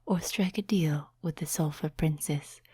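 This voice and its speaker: Ancient female demon, played by a woman, ASMR roleplay: dark, lowish whisper, teasing condescension, close dry mic. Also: no room sound, exaggerated voice. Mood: intrigued, taunting. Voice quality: breathy. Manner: slow, teasing, soft-spoken whispering. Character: ancient seductive demon, mocking, amused, condescending to mortals. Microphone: close, dry microphone.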